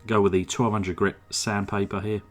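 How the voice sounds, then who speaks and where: A man with a slightly croaky throat narrating his model car restoration at a home workbench, in a relaxed, chatty way full of "uh" fillers. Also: fairly low pitch, normal speed, faint music behind. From England. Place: home workshop. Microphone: desk microphone.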